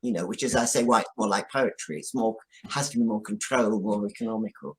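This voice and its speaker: A man giving reflective interview answers as a guest, explaining things in a thoughtful, halting way. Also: fairly low pitch. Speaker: guest, drama writer.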